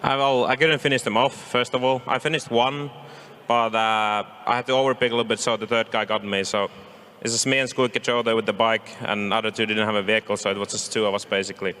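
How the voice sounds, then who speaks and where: Team caller, lowish, bright and crisp, fast and terse, echoey hall. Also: male voice, steady tone. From Finland. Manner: terse, matter-of-fact. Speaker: pro esports player, team shot-caller. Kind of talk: post-match interview.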